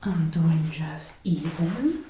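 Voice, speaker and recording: A woman doing a soft-spoken ASMR roleplay, often whispering, gently and methodically narrating each step close to a binaural microphone. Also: sits low, expressive, very slow. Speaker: ASMR creator. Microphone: close binaural microphone, ear to ear. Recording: roomy close-up binaural, some noise.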